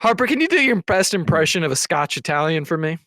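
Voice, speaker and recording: A young man co-hosting a casual podcast chat, needling the others with quick jabs. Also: a little high, big pitch swings, bright, forceful tone. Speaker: podcast co-host.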